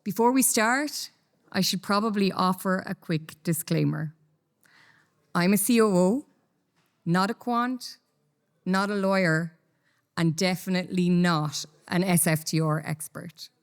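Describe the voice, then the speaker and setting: A woman from Ireland with an Irish accent giving a lively, conversational conference talk. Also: lowish voice, a little slow, pauses often. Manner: lively, self-deprecating, conversational. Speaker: conference speaker. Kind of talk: conference presentation. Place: conference room.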